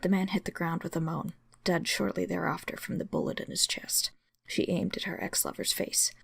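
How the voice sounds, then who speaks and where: Very creaky, husky woman reading a story. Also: fairly low pitch, little pitch movement, normal speed, a bit bright, dry recording. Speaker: story reader. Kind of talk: dramatic scripted narration of fan fiction.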